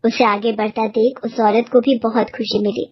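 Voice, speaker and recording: A woman's voice: a little high, level tone, highs cut off. A woman reading a scripted moral story for children as a storyteller.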